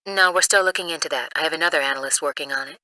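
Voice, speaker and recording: An actress playing an earnest, businesslike intelligence analyst in a video game, crisp and matter-of-fact. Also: female voice, low-pitched, racing, pushed and bright, dry recording, poor audio. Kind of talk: video game cutscene acting.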